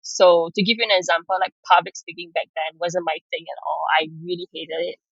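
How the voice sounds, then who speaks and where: A woman's voice: lowish voice, fairly even intonation, fairly quick, vocal fry, dry recording, highs cut off. A woman from Singapore talking as a podcast guest in a chatty way, laughing often and filling her sentences with "you know" and "like". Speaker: podcast guest, product manager. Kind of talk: casual interview chat.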